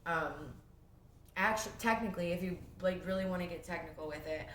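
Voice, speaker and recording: A woman's voice: lowish voice, a little fast, a bit bright. A young woman with a sniffly cold, telling her own story in a rambling casual way at home, full of fillers and self-interruptions. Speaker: vlogger sharing her recovery story. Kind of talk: casual first-person storytelling. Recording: echoey room with faint noise.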